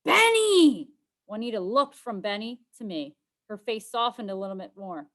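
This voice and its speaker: A woman's voice: mid-range voice, moderate pace. A woman reading a children's storybook aloud as scripted narration, in the role of a teacher.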